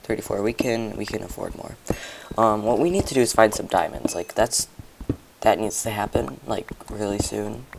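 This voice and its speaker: A young man with a hoarse voice, chatting and rambling to viewers as he comments on his own gameplay. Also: fairly low pitch, moderate pace, background noise. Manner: chatty, rambling, talks to viewers while playing.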